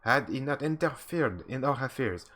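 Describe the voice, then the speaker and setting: A man voicing a stern male alien warrior admiral from a game, reading the lines a little stiffly with husky tone. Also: mid-range voice, lively intonation, normal speed, pushed and bright. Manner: reads stiffly with slips.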